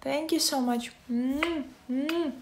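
A woman in her twenties from Russia with a Russian accent, chatting casually with live-stream viewers from her phone at home, softly thanking them and answering questions. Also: high voice, takes it slowly, background noise. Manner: soft, friendly, thanking viewers and reading chat. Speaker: livestreamer. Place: indoors, bedroom. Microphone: phone at arm's length.

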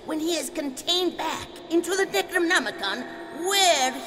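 A woman's voice: very high-pitched, expressive, normal speed, big-room sound. A woman voicing a commanding otherworldly being in a puppet fantasy drama, theatrical and formal. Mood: grave.

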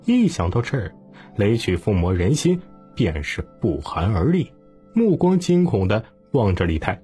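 A man narrating a historical novel as an audiobook in a dramatic, expressive storytelling manner. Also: lowish voice, unhurried, faint music behind.

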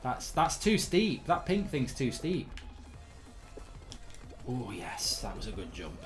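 A hoarse man in his thirties doing live, blunt, sweary commentary while streaming a game from a desk indoors. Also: medium pitch, very animated, slow, faint music behind. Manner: blunt, sweary, rambling banter.

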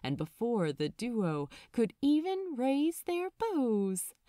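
A woman reading fiction aloud as a narrator, in a dramatic, expressive audiobook style. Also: on the high side, takes it slowly, dry recording, clean studio sound.

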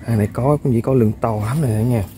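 A man, excitedly commenting to viewers while fishing on a riverbank. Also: mid-range voice, fast talker, background noise. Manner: excitable, exclaims often, chuckles. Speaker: fishing vlogger. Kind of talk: casual outdoor commentary to viewers. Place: outdoors by a riverbank.

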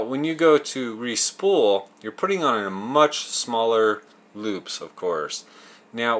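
A man's voice: fairly low pitch, fairly bright tone. A man in his thirties narrating a how-to as show host, explaining step by step in plain, folksy words. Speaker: host of a fishing tips show.

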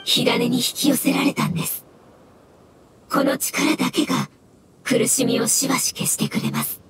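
A woman voicing a meek, sorrowful, polite elemental creature in an anime game, speaking softly. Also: sits high, fairly even intonation, moderate pace, heavy background noise. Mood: sad, explanatory. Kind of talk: Japanese anime game voice acting. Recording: loud music behind the voice.

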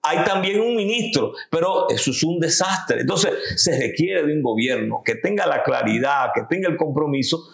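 Dominican guy, 50s, fired up in an interview, gravelly, roomy living room sound. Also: expressive, moderate pace, few pauses. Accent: Dominican Spanish. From Dominican Republic. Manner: emphatic, gesturing, rising in pitch when stressing points. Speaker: political guest. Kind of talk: interview, political argument.

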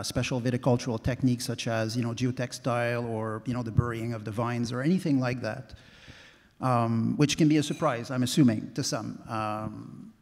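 A man in his forties speaking as a winemaker on a conference panel, animated and full of "you know, like" fillers, holding a handheld mic. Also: medium pitch. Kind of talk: panel discussion. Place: stage in a large hall. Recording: long hall reverb.

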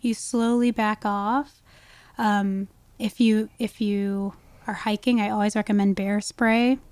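A woman's voice: medium pitch, level tone, slow pace, a little mellow, dry recording. A woman speaking as an expert guest in a conversational podcast interview, explaining things with emphasis. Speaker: guest, wildlife ecologist.

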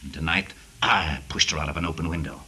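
Cold cornered killer, terse, hard bright edge on a husky voice, old radio crime show. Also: male voice, very deep voice, full character voice. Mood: cold menace. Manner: terse, grim, measured. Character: cold, cornered male wife-murderer. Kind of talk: 1940s radio noir acting.

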